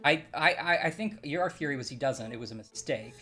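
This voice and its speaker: A man's voice: mid-range voice, animated, a little fast, bright, forceful tone, faint music behind. A young man casually playing a mystery game, thinking aloud and hesitantly reasoning through clues. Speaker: game streamer. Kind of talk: casual let's-play chat.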